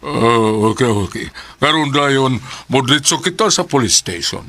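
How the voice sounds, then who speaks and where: A man playing an authoritative boss in a Bisaya radio drama, speaking deliberately and emphatically with a Filipino accent. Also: mid-range voice, expressive, sharp tone, heavily acted. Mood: decisive. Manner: deliberate, emphatic, repeating questions. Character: authoritative male boss questioning his staff.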